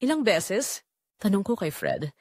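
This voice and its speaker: A woman playing a betrayed middle-aged mother, telling her story in first person with solemn, emotive, deliberate phrasing in an audio drama. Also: mid-range voice, big pitch swings, a little mellow, no room sound, studio quality. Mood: cold, accusing. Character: betrayed middle-aged mother and wife, wounded, quietly bitter.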